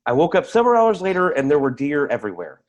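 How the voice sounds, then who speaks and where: Man acting a rattled, high-voiced man, very animated. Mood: awed recollection. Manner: halting, emphatic, repeats himself. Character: rattled, defensive man testifying about an eerie deer encounter. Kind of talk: dramatic staged play reading, naturalistic testimony.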